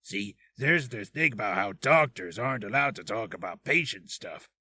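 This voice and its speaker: A man's voice: on the low side, expressive, moderate pace, bright, forceful tone, breathy edge, full character voice. A man voicing an odd, smug, evasive hospital director who hums and drawls his asides, for a character reel.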